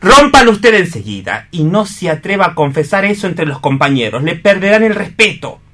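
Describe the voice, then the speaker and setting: Eloquent, high-voiced worldly newspaperman. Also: sing-song, normal speed, a bit bright, faint music behind, exaggerated voice. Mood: persuasive. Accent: Spanish (Spain). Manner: emphatic, theatrical, urging. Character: eloquent newspaperman, worldly, persuasive male mentor. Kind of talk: radio theatre reading.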